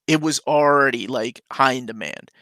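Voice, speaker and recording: A man from Peru hosting a relaxed live Q&A about comics. He reads out viewer questions and answers them in an easygoing, enthusiastic way. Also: medium pitch, normal speed, no room sound. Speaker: comics channel host. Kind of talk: casual live Q&A chat. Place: home room.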